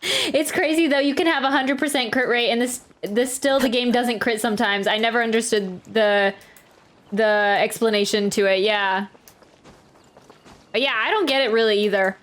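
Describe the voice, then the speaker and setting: A woman's voice: a little high, normal speed, a bit bright. A woman game streamer chatting casually and reacting on a livestream.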